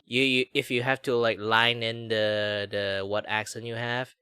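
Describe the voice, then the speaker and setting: A man chatting casually in an animated, joking way. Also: on the low side, measured pace, clean tone, no echo, clean studio sound. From Malaysia. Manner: animated, joking, freely swearing. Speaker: stream host. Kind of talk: casual chat.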